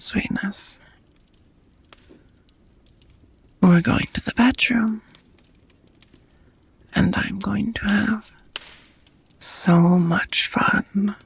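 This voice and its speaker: A woman playing a seductive, doting vampire roommate in an intimate ASMR romance roleplay, whispering softly with teasing, formal endearments. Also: fairly low pitch, dry recording, exaggerated voice. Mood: hungry, sultry. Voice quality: soft, breathy whispering. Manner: slow, sultry, formal wording with pet names.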